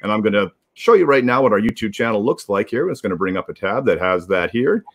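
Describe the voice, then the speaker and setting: A man's voice: fairly low pitch, expressive, fast talker, a little hiss or hum. A man in his forties, casually co-hosting a livestream on a video call. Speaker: livestream co-host. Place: indoor room at home, on video call. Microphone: video call device.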